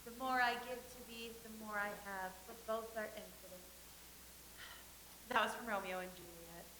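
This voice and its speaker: An actress playing a dreamy young actress hoping to marry, earnest and lovestruck, in a staged play reading. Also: female voice, mid-range voice, steady tone, normal speed, pushed and bright, roomy sound, slight background noise, close to an everyday voice, poor audio.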